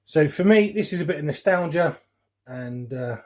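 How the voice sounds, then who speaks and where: A man's voice: a little high, lively intonation, normal speed, darkish tone. A man in his forties with a London English accent, chatting casually and reminiscing in an informal, rambling way as a hobbyist vlogger. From West London, England. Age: 40s. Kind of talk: casual reminiscing chat while unboxing.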